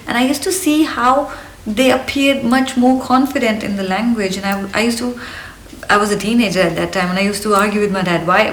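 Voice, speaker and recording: A woman in her thirties from India with an Indian accent and Hindi as her first language, talking in a chirpy, energetic and earnest way for a personal vlog. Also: mid-range voice, a little hiss or hum. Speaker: vlogger. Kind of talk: personal get-to-know-me vlog. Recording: roomy, slightly distant mic.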